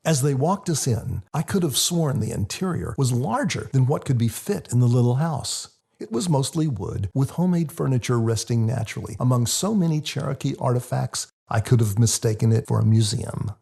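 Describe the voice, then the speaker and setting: Guy reading his audiobook, gravelly mellow voice, kinda low and husky. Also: animated, moderate pace, few pauses. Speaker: author reading own book. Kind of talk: scripted audiobook narration.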